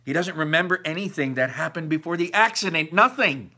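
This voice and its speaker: A man's voice: fairly high pitch, animated, fairly quick, sharp tone, pitched above the performer's own voice, heavily acted. A man voicing an upset, defensive teenage girl from a storybook, arguing her side with her parents. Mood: insistent.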